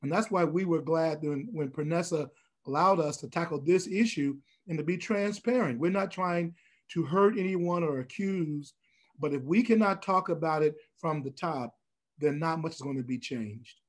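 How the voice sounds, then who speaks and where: A man's voice: on the high side, moderate pace. Older man, raised voice, pulpit emphasis, webcam at home. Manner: forceful, preacher-like emphasis. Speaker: panelist, church leader. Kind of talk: panel discussion. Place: room at home. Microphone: video call.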